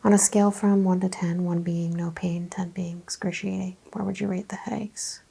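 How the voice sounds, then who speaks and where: A woman speaks softly and gently as a chiropractor in an ASMR roleplay, often dropping to a whisper. Her voice is creaky and mellow, with a breathy edge. She asks and explains in short, unhurried phrases. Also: fairly low pitch, little pitch movement. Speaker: ASMR host playing a chiropractor. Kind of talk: ASMR roleplay, soft-spoken exam.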